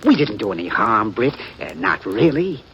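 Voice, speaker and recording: A man's voice: fairly low pitch, big pitch swings, moderate pace, a bit bright, slight background noise. An actor playing a nervous, folksy Western townsman with an American drawl, stammering and self-justifying in a 1950s radio western. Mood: nervous, wheedling. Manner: flustered, stammering, repeats himself, wheedling. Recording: dull, lo-fi 1950s radio recording.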